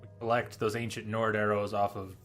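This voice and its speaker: A man's voice: lowish voice, flat delivery, fairly quick, fairly bright tone. Man musing through casual gameplay commentary. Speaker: video game streamer. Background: game music and rain.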